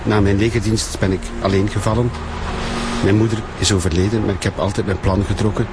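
An actor playing a punctilious, socially awkward middle-aged warehouse worker in a Flemish radio drama, speaking insistently in short clipped sentences. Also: male voice, lowish voice, little pitch movement, a little fast, very noisy, close to an everyday voice. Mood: proud, earnest.

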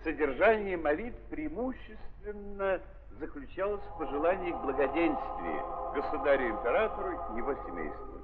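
A man narrating literary prose in a declamatory, deliberate 1930s theatrical style with an ironic edge, on an old archive recording. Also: on the high side, very animated. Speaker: narrator of a stage-based radio play. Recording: scratchy, tinny 1930s archive recording with hiss.

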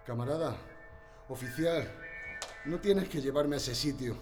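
A man's voice: medium pitch, unhurried, echoey room, far from the mic, natural voice. A man playing a terrified prisoner in a radio drama, pleading desperately and frantically. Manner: pleading, breaking into frantic shouts. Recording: music behind the voice.

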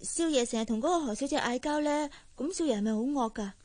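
Woman acting a high-voiced, eager teenage girl. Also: quick pace, darkish tone, close to an everyday voice. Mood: nervous, hesitant. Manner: girlish, inquisitive, hesitant when nervous. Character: eager, timid sixteen-year-old cleaning girl, chatty and curious. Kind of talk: Cantonese radio drama acting.

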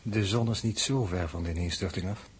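An actor playing a learned, precise space scientist in a 1960s Dutch radio drama, with a measured, didactic delivery. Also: male voice, low voice, slightly rough. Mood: grave, insistent.

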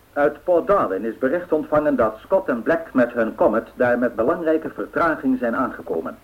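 Actor as formal 1930s newsreader, tinny radio. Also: male voice, mid-range voice, natural voice. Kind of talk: scripted news bulletin in a radio play. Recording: thin band-limited old radio sound.

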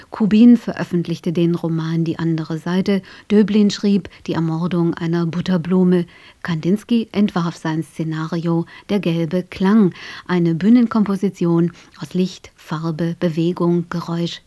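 A woman reading a scripted introduction for a radio arts broadcast in a measured, factual way. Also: lowish voice, mellow. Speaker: radio presenter introducing an audio drama.

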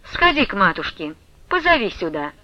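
Audiobook role: woman narrator as haughty young woman in charge, stern, cold, bright and cutting. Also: mid-range voice, big pitch swings, normal speed, no echo, dull audio. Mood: cold, controlled. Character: bold, haughty young convent woman taking command.

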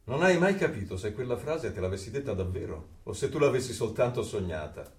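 A man acting a measured, enigmatic, knowing figure of authority in a radio drama, poetic and deliberate. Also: medium pitch, big pitch swings, recorded from a distance, natural voice. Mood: reflective. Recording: echoey, off-mic, faint music behind.

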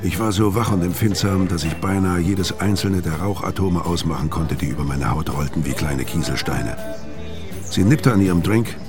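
A very deep male voice of an actor carries a gruff, world-weary criminal in a noir radio play. He is middle-aged and hard-boiled, speaking tersely and guardedly both in dialogue and first-person narration. Music plays clearly behind him. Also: moderate pace, natural voice. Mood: brooding narration.

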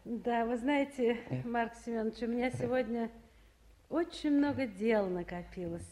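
An actress playing a practical, good-natured town council official in a radio play, with a folksy, conciliatory manner. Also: female voice, a little high, a little slow, mellow, slight background noise, close to an everyday voice. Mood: earnest, kindly.

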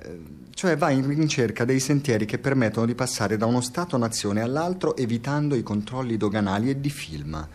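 An actor playing a frustrated, foul-mouthed scientist in an Italian radio drama, sarcastic and given to profane ranting. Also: male voice, medium pitch, a little mellow, lightly acted. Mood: reflective, explanatory. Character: frustrated, foul-mouthed male scientist turned reluctant playwright. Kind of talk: Italian radio theatre acting.

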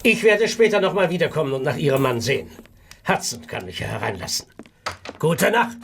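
An actor playing a predatory doctor in a radio play, speaking in a condescending, insinuating manner. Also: male voice, a little high, very animated, a little fast, vocal fry, background noise. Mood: coldly threatening. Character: smooth, predatory, menacing male family doctor.

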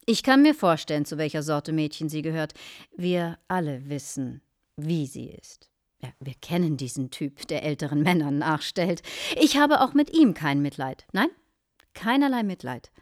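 An actress playing a middle-aged, judgmental wife and hostess in a radio drama, speaking with dramatic emphasis. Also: female voice, on the low side, dry recording, studio quality.

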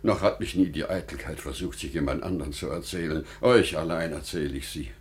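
A man's voice: very deep voice, brisk. An actor playing a grave, devout bereaved father and merchant in a solemn, declamatory German radio drama style, swelling with emotion. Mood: grave, confiding.

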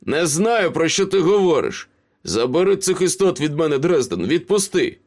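Man acting out a high-pitched, frantic, cowardly traitor. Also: sing-song, moderate pace, darkish tone. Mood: defiant, pained. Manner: frantic, gasping pleas and protests. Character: wounded, cowardly young male traitor, pleading and defiant.

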